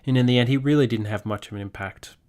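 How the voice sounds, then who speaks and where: A man's voice: mid-range voice, quick pace, a little mellow. A man hosting a sports podcast, giving opinionated, emphatic analysis. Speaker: podcast host.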